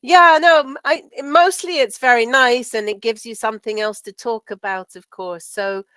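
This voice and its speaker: A woman teaching English in a casual, chatty conversation, slightly croaky from a sore throat and often checking with tag questions. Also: on the high side, moderate pace, a bit bright, no echo. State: sore throat, recovering from flu. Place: video call from home.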